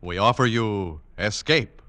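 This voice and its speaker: A man's voice: lowish voice, unhurried, heavy background noise, natural voice. A man performing as the grand, dramatic announcer of a 1940s radio adventure show. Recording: vintage radio recording.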